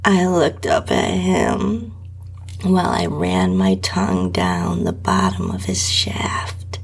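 A woman reads an intimate first-person adult story as its narrator. Her voice is extremely breathy and often close to a whisper, with a gravelly fry in it. She takes it very slowly, with a sultry, drawn-out delivery. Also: rumbling low, big pitch swings. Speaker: podcast host and story narrator.

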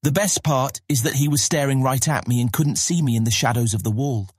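Fantasy audiobook role: cocky guildless teen boy, adult male actor, defiant swagger, husky edge, close dry sound. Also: medium pitch, brisk, no room sound, natural voice. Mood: boastful, gleeful. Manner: boastful, insolent. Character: cocky, defiant fifteen-year-old guildless boy, boastful storyteller. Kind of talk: full-cast fantasy audiobook acting.

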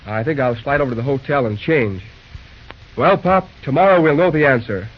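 A man's voice: mid-range voice, lively intonation, brisk, close to an everyday voice. An actor playing a confident, wry young railroad man with a breezy, slangy way of talking in an old-time radio drama. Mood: determined. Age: young adult. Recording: muffled old radio broadcast.

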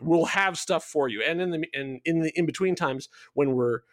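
A man's voice: a little high, moderate pace, no echo, studio quality. A man speaking as a show creator in a casual promotional chat, rambling along in a jokey, self-deprecating way. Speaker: show creator being interviewed.

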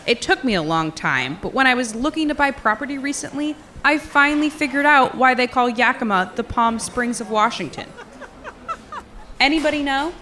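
A woman's voice: sits high, moderate pace, sharp tone. A woman playing a brash small-town comedian hosting an open mic night in an audio drama, teasing the room with rhetorical questions. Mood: playful, sardonic.

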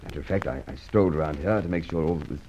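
An actor playing an impatient, irascible plainclothes detective in an old radio serial, speaking in an urgent, blustering manner. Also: male voice, very deep voice, fast talker, mellow, slight background noise. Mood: explaining.

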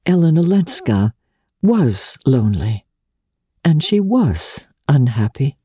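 A woman narrating an audiobook of classic literature as a dramatic reading, measured and expressive. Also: a bass voice, slightly rough, no echo.

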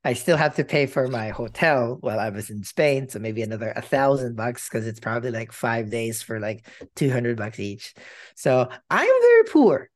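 A man giving personal updates in a casual chat, rambling and halting with many fillers and casual swearing. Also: medium pitch, big pitch swings.

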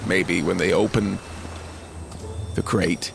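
A man's voice: low voice, steady tone, slow pace, vocal fry, soft background music, heavily acted. A man playing a snarky reality survival show host, narrating with wry, mocking play-by-play. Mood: mocking commentary.

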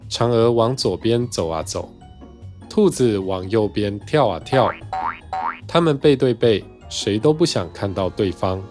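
A medium-pitched storyteller narrates a children's tale from a script. The phrasing is simple and storybook-like, with music playing underneath. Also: lively intonation, measured pace, a little mellow.

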